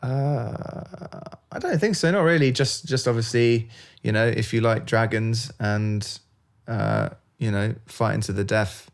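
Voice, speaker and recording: A man's voice: mid-range voice, a little slow, gravelly. A man, a composer, chatting casually as an interview guest, with lots of fillers and restarts. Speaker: video game composer, guest.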